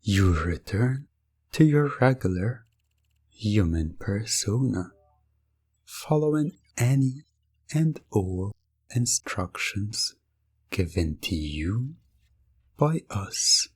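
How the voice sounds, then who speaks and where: A man narrating scripted hypnosis ASMR in the second person, drawing out his phrases in a halting, suggestive way. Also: low-pitched, a little mellow, husky, no room sound. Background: music.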